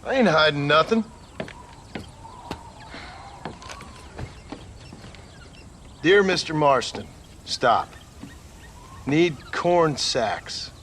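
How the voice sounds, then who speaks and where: An actor playing a plainspoken, laconic reformed gunslinger and husband with an American frontier drawl, in a video game. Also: male voice, a little high, sing-song, slow, fairly bright tone, a little hiss or hum, heavily acted. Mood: wry, defensive. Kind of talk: video game dramatic dialogue.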